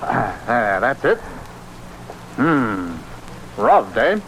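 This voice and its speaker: A man in an old radio drama playing a brisk, kindly, excitable police inspector with a British accent. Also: mid-range voice, exaggerated voice, rough recording. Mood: brisk, concerned. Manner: brisk, exclamatory. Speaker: actor in a radio thriller. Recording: old radio recording, some noise.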